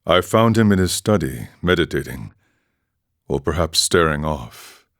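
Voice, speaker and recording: Really low voiced old-world warrior monk for a game, ex chieftain, solemn n measured storytelling, super dry close sound. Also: male voice, lively intonation, no room sound. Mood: solemn, reflective. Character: weathered, philosophical male warrior monk, former chieftain. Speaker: video game voice actor. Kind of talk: scripted dramatic storytelling monologue.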